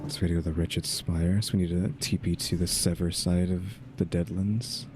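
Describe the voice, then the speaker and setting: Low-voiced man doing casual game commentary. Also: steady tone, brisk, noisy background. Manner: informal, thinking aloud. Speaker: gaming channel host. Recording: game music behind the voice.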